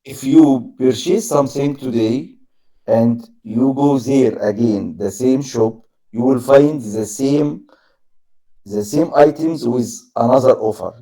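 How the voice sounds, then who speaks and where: A man practicing his English, halting often to find the right word. He speaks very slowly, and his voice has a gravelly fry and a dark tone. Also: mid-range voice, rough recording. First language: Arabic. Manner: halting, searching for words, simple grammar. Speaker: English learner. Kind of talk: casual conversation practice.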